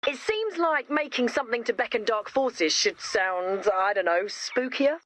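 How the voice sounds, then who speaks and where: Casting: high-pitched, wry, gently bossy young game heroine, romantic and earnest. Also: female voice, animated, measured pace, a little hiss or hum. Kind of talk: video game voice lines.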